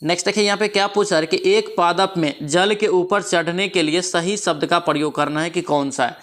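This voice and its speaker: A man's voice: sits high, a little fast, a bit bright. A man with a Bihari Hindi accent and a sore throat from a cold, walking through exam quiz answers and repeating each one back as a question. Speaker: exam prep teacher. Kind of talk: quiz answer walkthrough lesson.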